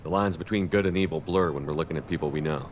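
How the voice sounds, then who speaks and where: A level-headed commander in a video game. The voice is deep and steady, firm yet reasoning. Some ambient noise lies behind it. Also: male voice, fast. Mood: reassuring. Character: calm, firm human military commander, persuasive and loyal. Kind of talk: video game dialogue acting.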